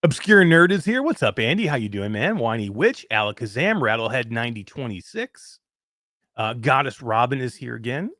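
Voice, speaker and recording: A man of fifty hosting a live show in casual chat, reading out greetings with excitement. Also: mid-range voice, moderate pace, dry recording, studio quality. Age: 50s. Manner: excited, animated chat shout-outs. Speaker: host.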